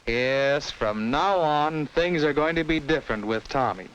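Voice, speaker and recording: Animated 1950s American educational film narrator, man. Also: a little high, a little slow, smooth, dull audio. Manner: instructive, simple storytelling addressed to children. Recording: noisy vintage 1950s film soundtrack.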